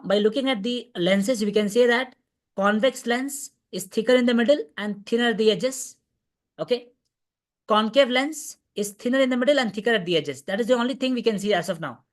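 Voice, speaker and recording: Indian woman teaching an online class. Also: on the high side. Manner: repeats points, checks understanding with okay and right. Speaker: physics teacher. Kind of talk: online revision class lecture. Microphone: online video call class.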